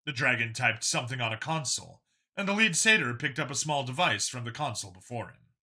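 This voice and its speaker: A man's voice: medium pitch, animated, a little fast, bright, forceful tone. A man narrating a scripted science fiction story as an audiobook reader.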